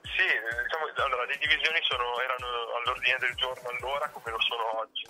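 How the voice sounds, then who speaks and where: A man giving casual political commentary, halting and often repeating words as he goes. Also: mid-range voice, fairly even intonation, bright, forceful tone. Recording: muffled phone-like line, music underneath.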